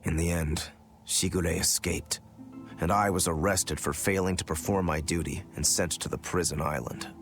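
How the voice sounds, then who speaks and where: A deep-voiced male swordsman turned demon from a video game. He is brooding and self-critical, speaking in a plain, matter-of-fact way, with faint music underneath. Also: fairly even intonation, normal speed. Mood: somber, regretful. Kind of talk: dubbed video game dialogue.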